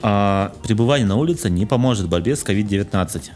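Low-voiced young man chatting over music. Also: expressive, fast, a little mellow. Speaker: podcast co-host. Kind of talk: casual chat about news, reading items aloud.

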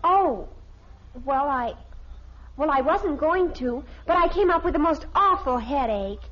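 A woman's voice: high voice, animated, measured pace, a bit bright. An actress playing an earnest, slightly evasive teenage daughter with a girlish manner in an old American radio family comedy. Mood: breezy, evasive. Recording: dull, faintly noisy old radio recording.

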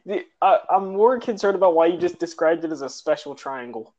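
A man's voice: on the high side, lively intonation, fairly quick, a little mellow. A man co-hosting a casual podcast chat, laughing often and stumbling over repeated words as he starts sentences. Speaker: podcast co-host. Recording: dull lo-fi audio.

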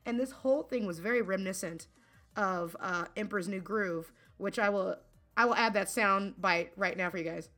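A woman co-host chats casually and laughs her way through stories, acting out quotes as she goes. Her voice is bright and forceful at a medium pitch. Faint music sits in the background. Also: normal speed. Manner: giggly, animated, acts out quotes. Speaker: podcast co-host. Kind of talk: casual chat.

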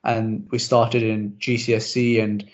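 A young man whose first language is Urdu, hosting a casual interview chat and talking in a quick, self-deprecating way with lots of asides. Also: fairly low pitch, little pitch movement. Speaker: podcast host. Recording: slightly dull lo-fi audio.

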